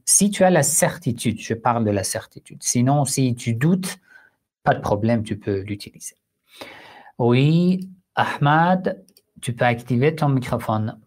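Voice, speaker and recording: Slow, deliberate man, hosting an online religious Q&A; patient explainer, hesitant fillers. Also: mid-range voice, darkish tone. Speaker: Islamic Q&A host. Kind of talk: live online Q&A answering listeners.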